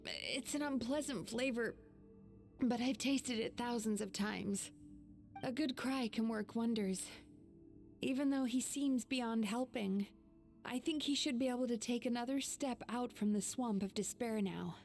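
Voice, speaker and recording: A woman playing a calm, wise young dream healer in a video game, explaining things in a measured, composed way. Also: a little high, soft background music. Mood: reassuring.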